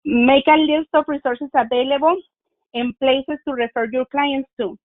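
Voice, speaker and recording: A woman's voice: sits high, fairly even intonation, normal speed, dry recording. A woman presenter reading an instructive webinar talk from a script. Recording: tinny phone-line audio, dry.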